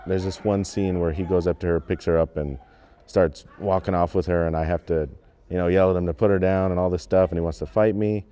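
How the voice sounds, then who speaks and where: A man's voice: low voice, steady tone, brisk, warm tone, clean tone. A young man talking casually in an interview as a student actor, plain-spoken and a little hesitant. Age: young adult.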